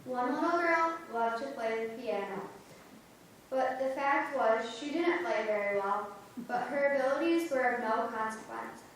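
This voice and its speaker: A woman's voice: fairly high pitch, expressive, a little slow, slight background noise. A woman performing reader's theater, steadily reading scripted church history in a church. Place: church sanctuary. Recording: echoey church from far off.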